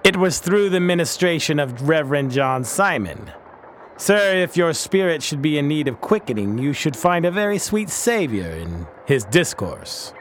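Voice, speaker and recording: Reformed pirate captain, radio play, male actor: earnest, pious, blunt, slightly raised pitch. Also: sing-song, moderate pace, a little hiss or hum. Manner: blunt, solemn, formal old-fashioned speech. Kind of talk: radio drama acting.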